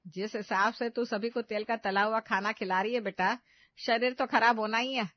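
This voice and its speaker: A woman's voice: fairly high pitch, fairly even intonation, normal speed, no echo, muffled recording, close to an everyday voice. A woman voicing an elderly, suspicious mother-in-law in a moral story, exclaiming and scolding.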